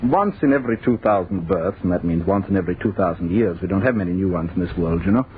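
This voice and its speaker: Guy playing a low voiced weary 250 yr old future man, scornful and theatrical, old radio horror w/ muffled tinny sound. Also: fast, a little hiss or hum, lightly acted. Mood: condescending. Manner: condescending, theatrical, impassioned. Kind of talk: old-time radio horror drama acting.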